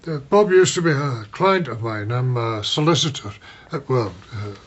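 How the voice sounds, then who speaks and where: A man's voice: mid-range voice, lively intonation, lightly acted. An actor in a radio drama playing a retired Scottish solicitor, an older man who is hesitant and forgetful. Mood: hesitant, polite. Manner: halting, fretful. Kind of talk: naturalistic radio drama acting. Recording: slightly muffled radio drama sound.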